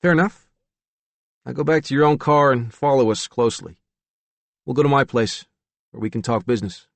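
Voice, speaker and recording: A man's voice: medium pitch, normal speed, gravelly, no room sound, voice pushed up, lightly acted. A man voicing a cocky young crime boss with a commanding, businesslike manner in an audiobook. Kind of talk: audiobook character dialogue.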